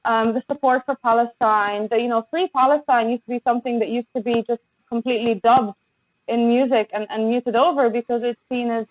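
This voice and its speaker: A woman guest on a podcast, speaking with passion and many halting restarts over a remote call. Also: fairly high pitch, fairly even intonation, no echo. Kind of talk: impassioned conversation. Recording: tinny phone-like line, dry.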